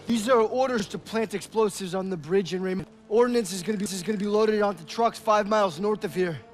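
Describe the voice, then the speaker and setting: A man's voice: high voice, brisk, close to an everyday voice. An actor playing an earnest, urgent young American infantryman in a war video game, with music behind him. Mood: serious. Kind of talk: dramatic video game acting.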